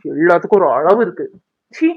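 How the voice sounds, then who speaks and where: A woman's voice: lowish voice, brisk, slightly rough, narrow, tinny audio. A woman acting a fierce, scolding village woman in a drama, with a confrontational, threatening manner. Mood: angry scolding. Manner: confrontational, threatening, rhetorical questions.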